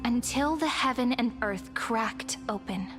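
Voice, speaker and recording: Woman as a solemn high-voiced chronicler in reverb. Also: unhurried, over music, natural voice. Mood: solemn, epic. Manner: grave, storytelling, formal. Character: solemn female chronicler of ancient war legends. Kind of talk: scripted epic narration. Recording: long hall reverb.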